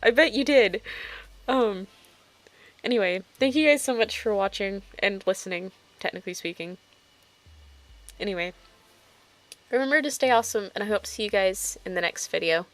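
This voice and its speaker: A woman's voice: medium pitch, moderate pace, pauses often. A woman with an informal, self-deprecating manner, telling stories and chatting casually as an online video creator. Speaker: YouTuber reading own fanfiction. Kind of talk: casual storytelling and video chat.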